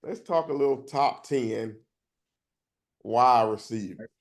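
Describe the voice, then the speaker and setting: Laid-back gravelly older man. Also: mid-range voice, takes it slowly. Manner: laid-back, agreeable, slangy. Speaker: co-host. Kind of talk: casual sports talk.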